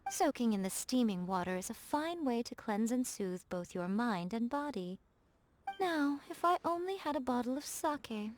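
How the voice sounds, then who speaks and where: A woman playing a sly, elegant fox spirit shrine maiden in a video game, coy and self-assured, in measured teasing phrases. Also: on the high side. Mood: pleased, relaxed. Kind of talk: English video game character voice acting. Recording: music behind the voice. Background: background music.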